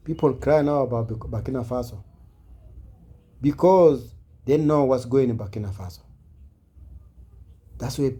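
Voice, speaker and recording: Mellow African man making an earnest appeal. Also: mid-range voice, unhurried, pauses often, husky. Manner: earnest, exhorting, repetitive. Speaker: speaker addressing online viewers. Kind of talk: impassioned informal speech to camera.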